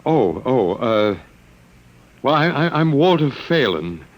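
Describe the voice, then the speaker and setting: A man's voice: mid-range voice, sing-song, takes it slowly. A man playing a bewildered professor in a 1950s radio drama, stammering and flustered but wry. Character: bewildered male professor, last man on Earth.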